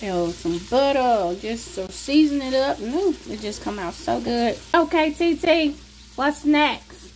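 Middle-aged Southern US woman, high-pitched, informal kitchen co-host chat, slight frying noise underneath. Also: animated, slow pace, a little mellow. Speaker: co-host and helper. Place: small home kitchen. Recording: noisy kitchen with sizzling food. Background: frying and stirring sounds.

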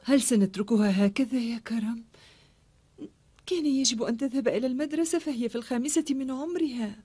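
A woman's voice: a little high, fairly quick, warm tone, lightly acted. A woman playing a worried, strict young mother in an Arabic cartoon dub, earnest and insistent. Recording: noisy old cartoon soundtrack.

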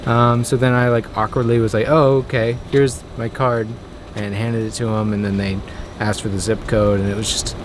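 A man's voice: lowish voice, level tone, moderate pace. A man in his twenties talking casually as a travel vlogger. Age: 20s. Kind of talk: casual vlog storytelling. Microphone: camera at arm's length. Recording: clear background noise, on-location camera audio.

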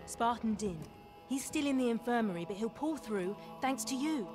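A woman's voice: on the high side, lively intonation, moderate pace, music in the background, close to an everyday voice. Tough, commanding woman soldier played by an actress. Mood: pleased, taunting. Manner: commanding, dry, confident. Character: tough, wry female supersoldier commander. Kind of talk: video game cutscene acting.

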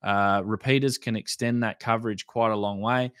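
A man's voice: fairly low pitch, steady tone, normal speed, fairly bright tone, clean tone, no room sound. A man in his thirties with an Australian accent explains things in a plain, instructional tutorial style, close to the microphone. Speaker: presenter of an amateur radio channel. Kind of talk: explanatory tutorial narration over slides.

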